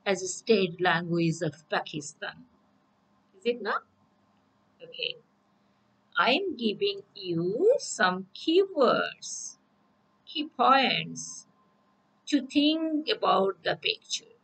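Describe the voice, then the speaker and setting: A woman's voice: medium pitch, a bit bright, husky, low-quality recording. A woman teacher, giving a recorded English lesson and often checking in with "okay?". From Bangladesh. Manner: slow, repeats "okay?" to check understanding.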